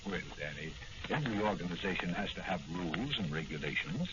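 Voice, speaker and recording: A man's voice: sits low, lively intonation, fairly quick. An actor playing a cautious, fatherly doctor and trusted advisor in a 1940s radio drama style. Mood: worried. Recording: muffled, noisy old-time radio recording.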